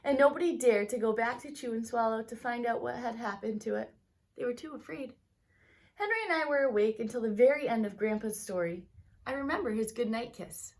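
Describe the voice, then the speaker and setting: Woman doing a kids read aloud, voice a bit high, expressive storyteller style, talks to the little listeners. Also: brisk. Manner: expressive storytelling with brief explanatory asides to young listeners. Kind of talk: expressive picture-book read-aloud for children.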